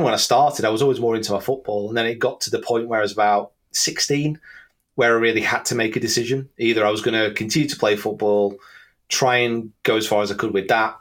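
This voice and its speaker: A man in his thirties with an English accent, chatting casually and self-deprecatingly as an interview guest. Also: on the low side. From England. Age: 30s. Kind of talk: casual conversational chat.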